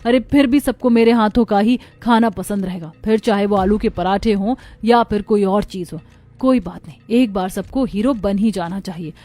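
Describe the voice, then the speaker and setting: A woman's voice: mid-range voice, normal speed, mellow, close to an everyday voice. A woman playing a jealous, boastful daughter-in-law muttering to herself in an acted family story. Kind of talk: acted inner monologue.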